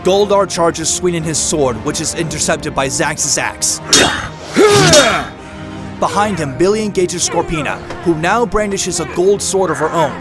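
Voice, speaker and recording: A man's voice: on the high side, a little slow. A man narrating an action audio drama from a script, describing the scene in the present tense. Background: dramatic music.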